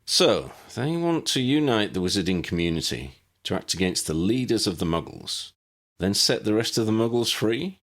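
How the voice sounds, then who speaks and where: A man's voice: on the low side, big pitch swings, moderate pace, voice pushed up. A man voicing a serious, cautious young male wizard who leads his friends in an audiobook story.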